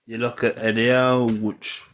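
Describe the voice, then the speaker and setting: A man's voice: mid-range voice, little pitch movement, a little slow, fairly bright tone. A man calls in to a football chat show and talks casually. He often hesitates, trails off mid-thought and starts over. His voice sits in a mid range. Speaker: caller.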